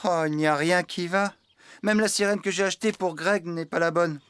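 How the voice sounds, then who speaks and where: A man's voice: high voice, fairly even intonation, normal speed, very noisy, close to an everyday voice. A man acting a good-natured, forgetful young farmhand in a French children's cartoon dub, with a sheepish, hesitant manner. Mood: sheepish, flustered.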